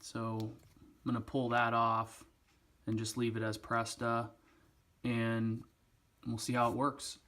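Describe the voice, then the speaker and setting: A man's voice: medium pitch, monotone, takes it slowly, long pauses, fairly bright tone, reverberant, a little hiss or hum. A man giving a casual product demonstration as a reviewer, thinking aloud in a loose, slightly hesitant way.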